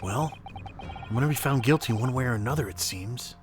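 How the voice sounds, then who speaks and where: A man acts out a young defendant in a courtroom game. He speaks at a middling pitch, anxious and hesitant but sincere, with music clearly behind him. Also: brisk, close to an everyday voice. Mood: scared, resigned. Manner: unsure, earnest.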